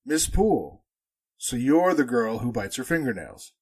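A man acts out a chatty announcer from a 1940s radio play. He has a mid-pitched voice with very lively inflection and a joking, slightly tactless way of talking. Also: unhurried, slightly rough, heavily acted. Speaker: actor in an amateur radio drama re-creation.

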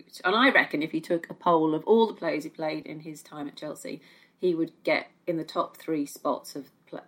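A middle-aged woman talking conversationally as a football pundit, often repeating herself as she searches for words. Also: sits low. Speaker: football pundit on a discussion show. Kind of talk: conversational discussion and recollection.

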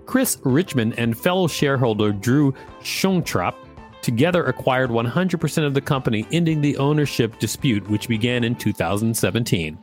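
Guy podcast host, normal pitch, reading out an article, jokey and casual, bit of guitar behind. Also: expressive, moderate pace. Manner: informal, joking, stumbles over words.

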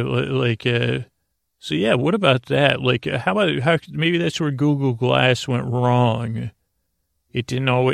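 A man with a heavily creaky, fry-laden voice rambles through an absurd bedtime monologue as a sleep podcast host. His pitch stays fairly even while he stammers, halts and drifts off into tangents. The sound is close and dry. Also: no room sound. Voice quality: gravelly, creaky. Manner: meandering, halting, stammering, trailing off into tangents.

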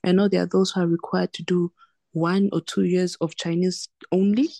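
A woman's voice: fairly low pitch, level tone, moderate pace, gravelly, dark tone, no echo. A young woman from Zambia and South Africa with a Southern African accent, explaining her own experiences as an interview guest and repeating words for emphasis. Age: young adult. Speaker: podcast guest, medical doctor. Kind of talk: conversational interview, recounting personal experience.

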